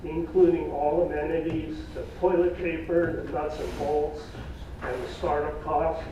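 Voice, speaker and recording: A man's voice: fairly high pitch, level tone, mellow, slight background noise. Man presenting in an echoey meeting room. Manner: plain, folksy, halting with fillers. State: losing his voice. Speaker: staff presenter at a public meeting. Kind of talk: presenting cost estimates. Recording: echoey room from far off, dull.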